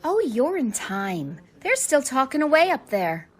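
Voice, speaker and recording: Radio drama: actress as watchful townswoman, unhurried delivery, lively pitch swings. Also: husky, full character voice. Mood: reassuring. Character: watchful village wife commenting from the crowd.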